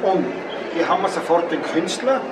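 A man's voice: high voice, lively intonation, brisk. An older man interviewing artists at an art fair, effusive and full of thanks. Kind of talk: casual interview. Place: large art fair hall, indoors. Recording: echoey fair hall, camera far off, crowd and noise behind.

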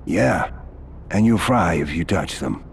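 An actor playing a matter-of-fact, streetwise prison fixer in a video game, casual and laconic. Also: male voice, sits low, animated, a little slow, gravelly, slight background noise, full character voice. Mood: businesslike.

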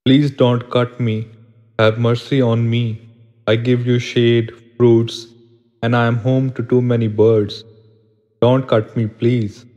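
A kindly old tree from a cartoon moral story , acted by a man. The voice is mid-pitched and mellow. It speaks slowly and earnestly, with a gentle, pleading temper. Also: little pitch movement, highs cut off, close to an everyday voice. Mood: pleading, warning. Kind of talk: animated moral story narration.

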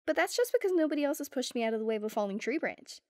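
Female actor for affectionate, sarcastic young girlfriend in ASMR roleplay; lightly high pitch, playful teasing, dry close recording. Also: big pitch swings, rapid-fire, a little mellow, dry recording. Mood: playful, caring.

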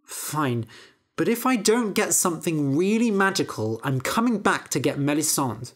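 A woman's voice: deep voice, very animated, normal speed. A woman voicing a naive, dutiful young man who speaks earnestly and plainly, close to her own voice.

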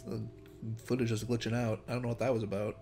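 A man's voice: mid-range voice, little pitch movement, quick pace, dark tone. A man giving casual, joking, sweary commentary as he plays a video game. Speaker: let's player. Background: faint game music.

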